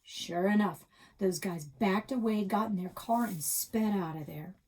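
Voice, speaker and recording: Husky woman reading a story aloud. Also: mid-range voice, a little slow, darkish tone. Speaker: librarian reading a children's novel aloud. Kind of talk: expressive read-aloud storytelling.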